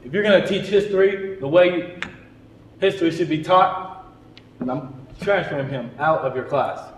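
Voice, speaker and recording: A man's voice: fairly high pitch, moderate pace, natural voice. Man acting a stubborn indignant father, echoey hall. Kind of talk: amateur stage drama acting. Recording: echoey hall, faint noise.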